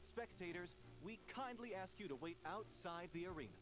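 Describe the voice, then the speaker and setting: A man's voice: high voice, animated, normal speed, over music. A man playing a courteous, formal arena announcer in a video game. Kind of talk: video game character acting.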